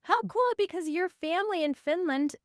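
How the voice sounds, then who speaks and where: A woman's voice: very high voice, expressive, moderate pace, no echo, clean studio sound. A woman hosting a podcast interview, curious and encouraging, asking follow-up questions. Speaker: podcast host.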